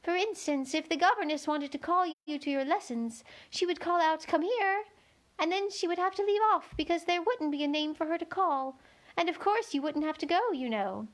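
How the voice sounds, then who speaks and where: A woman's voice: very high-pitched, moderate pace, pitched above the performer's own voice. A woman voicing a tiny gnat from a children's storybook, speaking in an airy, careless, matter-of-fact way. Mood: careless, coaxing. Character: tiny talking gnat, careless, melancholy, fond of puns. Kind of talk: children's storybook read-aloud.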